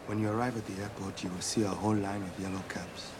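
An actor playing a weary male doctor from Nigeria in exile, speaking quietly and measured. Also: on the low side, steady tone, background noise, natural voice. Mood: tender. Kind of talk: film dialogue.

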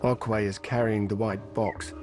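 A man reading audio description of a film in an even, neutral manner. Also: on the low side, moderate pace, over loud music. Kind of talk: scripted narration.

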